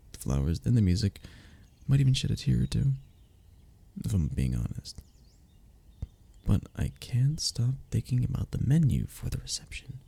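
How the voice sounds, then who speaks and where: A man acting a young best friend in an intimate audio roleplay, with a very gravelly, low voice. He is affectionate and playful, teasing gently in a soft, earnest way, and his pitch moves around in a lively manner. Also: heavily acted. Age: young adult.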